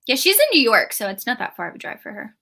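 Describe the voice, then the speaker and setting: A woman's voice: fairly high pitch, very animated, fast talker, creaky, sharp tone. A woman co-hosting a live book readalong show, chatting casually and animatedly with a friend. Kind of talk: casual chat between friends.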